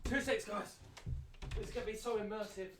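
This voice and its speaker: A man's voice: sits high, steady tone, fairly quick, noisy background. A man doing casual live reaction commentary as a game streamer, jokey, sweary and prone to exclaiming.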